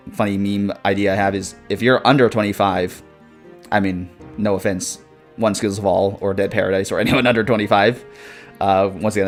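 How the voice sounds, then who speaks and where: A man in his twenties chatting casually on a live stream, reading viewer comments and rambling with lots of swearing, fillers and laughs. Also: fairly low pitch. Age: 20s.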